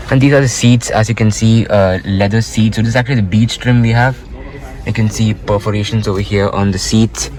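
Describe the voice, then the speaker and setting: A man's voice: lowish voice, slight background noise, poor audio. A man giving a casual walkaround of a car interior, pointing out features with frequent "uh" fillers, recorded on a handheld phone inside a car in a showroom. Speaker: car reviewer.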